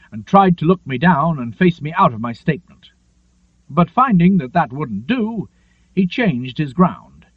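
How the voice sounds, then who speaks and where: A man narrates a literary memoir with lively, rising and falling intonation and a measured storyteller's manner. His voice sits fairly high for a male reader. The recording is very dry, with a narrow, phone-like tone. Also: husky, dry recording. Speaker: audiobook narrator.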